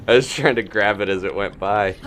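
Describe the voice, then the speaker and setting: A man's voice: fairly low pitch, animated, moderate pace, a bit bright, a little hiss or hum. A man giving casual live gameplay commentary, chatting and thinking aloud in unfinished asides. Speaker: video game streamer.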